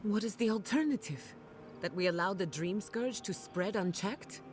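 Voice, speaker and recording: A woman's voice: medium pitch, moderate pace, soft background music, natural voice. A woman acting a hard-nosed, resolute female companion in a game cutscene, grave, persuasive and unyielding.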